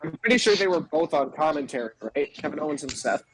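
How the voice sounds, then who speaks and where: A man's voice: a little high, lively intonation, quick pace, slight background noise, low-quality recording. A man co-hosting a podcast, chatting casually about wrestling. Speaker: podcast co-host. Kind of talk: casual wrestling review chat.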